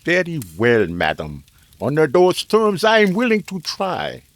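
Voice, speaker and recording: Man playing a sinister, swooping, slow-talking scientist. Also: on the high side, huge pitch swings, no room sound, full character voice. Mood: calculating. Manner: formal, deliberate, clipped phrasing. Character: sinister, calculating male scientist selling macabre services. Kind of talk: old-time radio drama acting.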